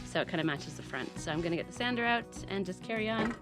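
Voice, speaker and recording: A woman's voice: mid-range voice, animated, quick pace, loud music behind the voice. A woman with a stuffy nose from a head cold, chatting casually and self-deprecatingly as she works on her tiny house. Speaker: DIY vlogger. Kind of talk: casual vlog narration while working.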